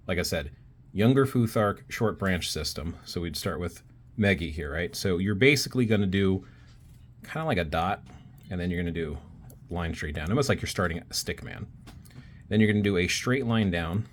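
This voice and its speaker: Deep-voiced man explaining runes. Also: slight background noise. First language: not Icelandic. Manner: relaxed, talks while drawing, thinking aloud with fillers. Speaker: self-taught rune hobbyist teaching. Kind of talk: casual step-by-step tutorial.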